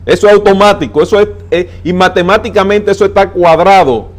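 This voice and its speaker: A man with a Dominican accent, a transport adviser on a TV interview, laying out plans with numbers and slowing down to stress his points. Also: sits high. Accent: Dominican Spanish. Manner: emphatic, explains with figures, slows down to stress points. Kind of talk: interview on a TV talk show.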